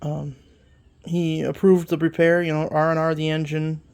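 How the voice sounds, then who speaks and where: Gravelly voice, stuffed-up nose after a cold, rambling mechanic, lots of ums, casual workshop walkthrough. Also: medium pitch, little pitch movement, normal speed, darkish tone. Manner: rambling, self-correcting, many fillers. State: congested from a lingering cold. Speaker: small engine repair channel host. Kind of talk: casual hands-on repair walkthrough.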